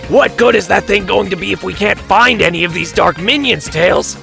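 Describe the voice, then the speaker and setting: An actor playing a gruff, restless young male werehog hero with a growling voice in a fan audio drama. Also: medium pitch, moderate pace, over music. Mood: irritated, restless. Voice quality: gravelly, growly.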